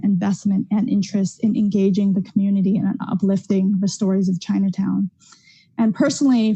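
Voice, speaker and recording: A woman who directs an arts center, giving prepared remarks on a video call in a steady, careful way with frequent "um" fillers. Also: mid-range voice, no echo. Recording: dull lo-fi dry sound.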